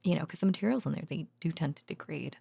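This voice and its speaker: A gentle researcher girlfriend, acted by a woman talks softly in an intimate ASMR audio roleplay. Her voice is dark, mellow and husky, often slipping into a whisper. She speaks haltingly, very close and dry. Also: low-pitched, animated, no room sound, full character voice. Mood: casual, murmured. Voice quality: soft, breathy, often dropping to a whisper. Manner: hesitant, halting, murmuring. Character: gentle female researcher girlfriend murmuring secret work stories at bedtime.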